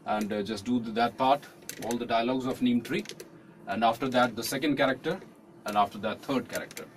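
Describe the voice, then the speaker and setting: Male studio coach, Indian accent, medium pitch, casual halting direction, slight noise behind. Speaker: voice-over coach. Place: recording studio.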